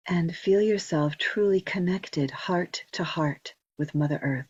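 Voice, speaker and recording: A woman leading a guided meditation, giving slow, gentle instructions with long pauses in a soft, breathy voice. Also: fairly low pitch, dry recording. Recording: dull, muffled lo-fi recording.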